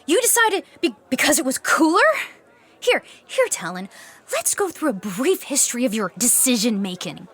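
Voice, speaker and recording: Fiery young heroine: high, swooping, exasperated and outspoken, for fantasy audio comedy. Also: female voice, very animated, moderate pace, fairly bright tone, husky, exaggerated voice. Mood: furious. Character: fiery, indignant young female aspiring beastmaster.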